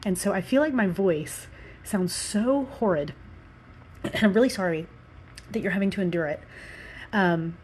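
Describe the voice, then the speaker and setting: A woman's voice: on the low side, a little hiss or hum. A woman in her thirties from the American South, congested with allergies and a little out of breath, rambling casually with many fillers while she talks through a building design. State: slightly out of breath. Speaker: Sims builder and architect.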